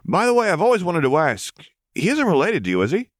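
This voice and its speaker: A man's voice: sits high, huge pitch swings, fast, no room sound, clean studio sound, pitched above the performer's own voice. A man voicing a chatty, deferential vampire henchman in an audiobook story, reporting eagerly and informally to his boss.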